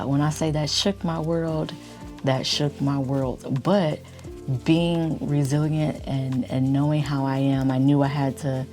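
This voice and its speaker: A woman vlogger chatting casually in a deep morning voice, keeping it low and quiet. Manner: speaking low to stay quiet. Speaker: lifestyle YouTube vlogger. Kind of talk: casual chit-chat vlog.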